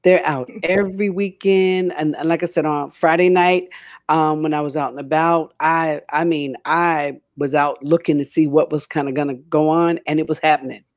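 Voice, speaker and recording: A woman's voice: low-pitched, steady tone, moderate pace, no room sound. Older female talk show host, emphatic and repetitive in casual panel chat, dry sound. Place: home room, indoors, on a video call.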